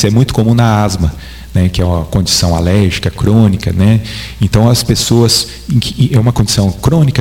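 A man's voice: on the low side, gravelly, darkish tone. A man with a Brazilian Portuguese accent, a doctor answering interview questions in a patient, didactic way. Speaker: doctor guest.

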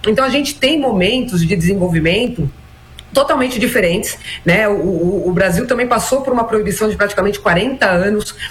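A woman in her forties from Brazil, a former national team player answering interview questions in a measured, reflective way with many small fillers. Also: medium pitch, expressive, soft background music. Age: 40s. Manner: measured, frequent "né" and "então" fillers.

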